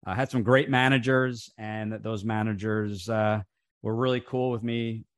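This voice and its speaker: Halting, low-voiced man on a video call. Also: little pitch movement, no room sound, clean studio sound. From New Jersey, USA. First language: Italian. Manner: halting, many fillers and restarts. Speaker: franchise coach, guest. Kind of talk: casual interview over video call.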